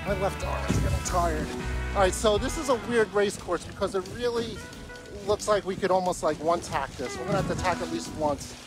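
A man giving a running, excited commentary on a sailboat race while sailing his own small boat and filming on a phone. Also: high voice, brisk, over loud music. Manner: excited, breathless running commentary, talking to himself. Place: small sailboat out on open water, windy. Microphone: phone camera held on the boat.

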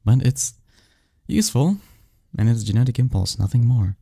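A man's voice: medium pitch, animated, normal speed, creaky, mellow, slightly rough, no echo. A man playing a snarky, cynical young research assistant in an audio drama, talking conversationally with a scoffing, pedantic manner and small stumbles. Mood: skeptical, lecturing.

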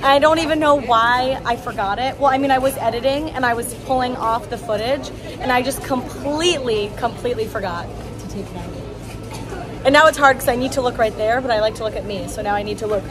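High-voiced young woman vlogging among crowds. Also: brisk. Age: 20s. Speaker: travel vlogger. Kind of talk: casual chat to camera. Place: outdoors in a busy tourist village street. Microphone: phone or camera at arm's length. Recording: outdoor phone audio with busy crowd. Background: crowd chatter.

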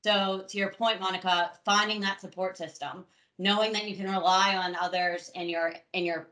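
Bright woman in an echoey room. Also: lowish voice, steady tone, normal speed. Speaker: panelist, forensic accountant. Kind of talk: panel discussion on a call.

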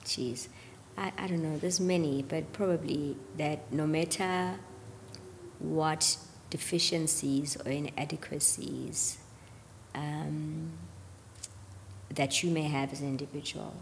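A woman's voice: deep voice, fairly even intonation, takes it slowly, in a large hall, slight background noise. A woman in her thirties, a gospel singer, talking earnestly about faith on a podcast and often dropping to a near-whisper. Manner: earnest, drops to near-whisper on key points. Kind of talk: reflective faith conversation. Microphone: studio mic on boom arm.

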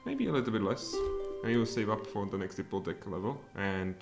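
A man in his thirties with a Czech accent, streaming a strategy game and thinking aloud, with sudden excited outbursts. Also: lowish voice, fairly quick. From Czech Republic. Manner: mutters thoughts aloud, then bursts out excitedly. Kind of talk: live strategy game commentary. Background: faint music and keyboard typing.

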